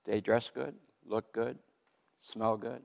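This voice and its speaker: An older man telling his faith story from the pulpit in a loud, lively way, laughing between points. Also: fairly low pitch, takes it slowly, creaky. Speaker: guest speaker giving testimony. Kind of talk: testimony sermon. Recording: long hall reverb.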